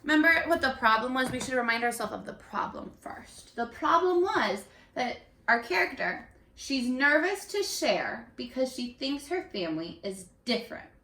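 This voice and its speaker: Female read-aloud teacher for young kids: highish, very animated delivery, expressive and instructive, addressing the children directly. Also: normal speed, hardly any pauses. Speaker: teacher reading a picture book aloud. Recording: echoey room, distant microphone.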